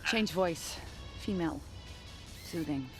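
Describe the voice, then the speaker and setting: A woman's voice: fairly low pitch, slow pace. A woman acting a weary, proud and heartbroken police detective in an audio drama. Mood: tired, urgent. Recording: loud dramatic music behind the voice.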